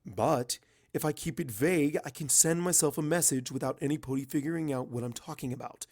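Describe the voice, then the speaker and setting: A man's voice: a little high, a little fast, darkish tone, no room sound, clean studio sound. A man voicing an arrogant, irritable male pony wizard with a blunt, bossy manner in a story reading. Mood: guarded.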